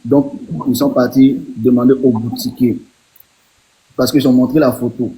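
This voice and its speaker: A man on a live stream, telling how his younger sister went missing, speaking haltingly and repeating words. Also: mid-range voice, steady tone, warm tone, husky, rough recording.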